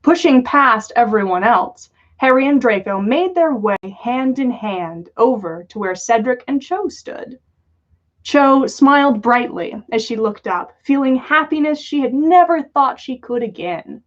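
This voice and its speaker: High-voiced woman, unhurried, lo-fi stream. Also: lively intonation. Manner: giggly, playful. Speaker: podcast host reading listeners' stories aloud. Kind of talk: storytelling read-aloud with casual chat on a livestream. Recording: dull lo-fi livestream audio.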